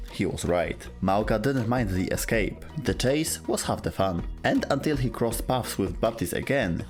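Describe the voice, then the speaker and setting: Low, animated male lore narrator. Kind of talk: scripted dramatic lore narration.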